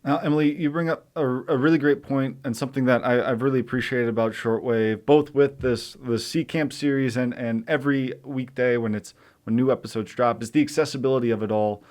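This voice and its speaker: Man interviewing conversationally, with halting phrasing. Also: medium pitch, steady tone, a little mellow. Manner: halting, repeats words while framing questions. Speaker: radio interviewer, news director.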